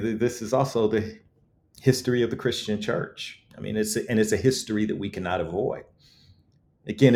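A man's voice: fairly low pitch, a little mellow. A man speaking as an interviewed author, thoughtful, earnest and articulate, with rhetorical emphasis. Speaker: author interviewed about his book.

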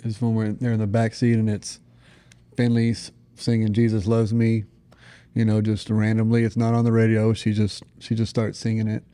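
A man's voice: fairly low pitch, completely flat delivery, moderate pace, dark tone, clear, resonant tone. An American man in his thirties talking casually and earnestly as a parent, often dropping in "you know". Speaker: parent sharing family testimony. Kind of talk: casual conversational testimony.

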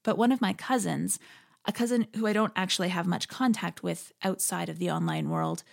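A woman hosting a podcast, delivering a reflective scripted monologue in an earnest, measured, articulate way. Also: lowish voice, steady tone, vocal fry. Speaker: podcast host; interfaith leader.

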